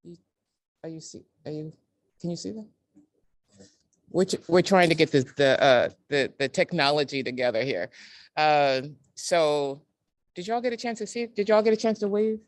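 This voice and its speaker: A woman hosting a live online event in a casual, halting, apologetic way. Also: low voice, lively intonation, no echo. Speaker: event host. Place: community center, on a group video call. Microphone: computer video call.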